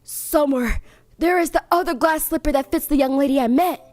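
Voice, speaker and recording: A woman's voice: high voice, a little fast, a little mellow, close to an everyday voice. Woman doing an earnest young prince.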